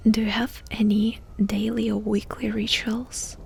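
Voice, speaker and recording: A woman doing ASMR in a breathy whisper, softly and intimately asking questions with pauses between them. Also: medium pitch, level tone, slight background noise. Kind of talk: whispered ASMR personal-attention roleplay.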